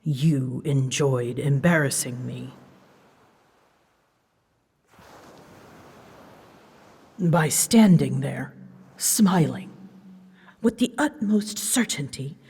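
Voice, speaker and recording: Slow, haughty ancient dragoness played by a woman. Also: extremely low pitch, darkish tone, exaggerated voice. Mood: stern, unsettled. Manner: haughty, formal, measured, scolding. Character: ancient huge female dragon, haughty, flustered, secretly fond. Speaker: voice actor. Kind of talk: scripted roleplay audio drama. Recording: long reverb, clear background noise.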